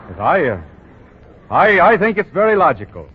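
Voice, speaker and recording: A man's voice: fairly high pitch, huge pitch swings, moderate pace, breathy edge, heavily acted. An actor playing a self-assured young male store boss in a 1940s radio drama who is pompous and stammers and chuckles. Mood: insistent. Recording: dull 1940 radio broadcast.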